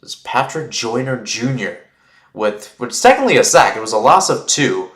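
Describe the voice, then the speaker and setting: A man giving live play-by-play football commentary in a halting way, often repeating his phrases. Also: mid-range voice, very animated, a bit bright. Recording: roomy, echoing sound.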